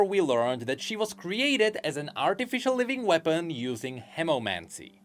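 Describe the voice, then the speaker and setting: Guy narrating game lore, voice jumps up and down a lot, kinda highish, quiet music playing. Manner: animated, explanatory. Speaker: video essayist on game lore. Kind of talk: scripted commentary.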